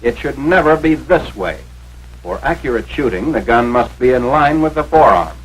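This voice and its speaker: A man's voice: medium pitch, expressive, moderate pace, husky, poor audio. An American man reading scripted narration for a 1940s military training film in an authoritative, instructional manner, on a vintage film soundtrack.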